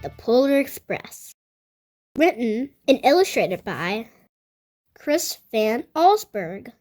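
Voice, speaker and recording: A woman reads a children's story aloud with a fairly high voice and very lively intonation. Her delivery is slow and deliberate, shaped as expressive storytelling for young listeners. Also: soft background music. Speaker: storybook reader, host.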